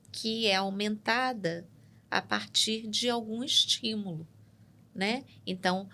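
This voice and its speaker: Woman psychologist explaining calmly. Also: mid-range voice. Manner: measured and explanatory, tags points with "né?". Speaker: psychologist guest. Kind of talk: explanatory interview talk. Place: podcast studio.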